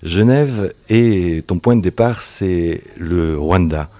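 A man interviewing a guest, asking slow, reflective questions. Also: low-pitched, warm tone, no echo.

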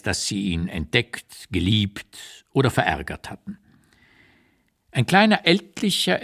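A man playing an old émigré writer who recalls wartime years in a radio reading, with deliberate, emphatic, literary phrasing and an unsparing eye. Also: on the low side, a bit bright, exaggerated voice. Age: older. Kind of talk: dramatised literary memoir reading, radio play.

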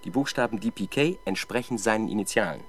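A man's voice: fairly low pitch, fast talker, lightly acted. An actor playing a diligent, matter-of-fact police sergeant reporting to his superior in a radio crime drama. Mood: businesslike. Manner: businesslike, reporting, persistent. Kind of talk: 1970s German radio crime drama acting.

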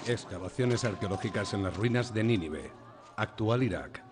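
A man narrating scene settings for a radio drama in a measured, solemn announcing manner. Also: fairly low pitch, lively intonation, very noisy. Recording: music behind the voice.

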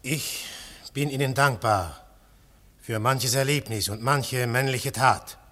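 A man's voice: mid-range voice, slow, bright, forceful tone. An actor narrating in the first person and playing a thoughtful man who earnestly seeks answers. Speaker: narrator and character.

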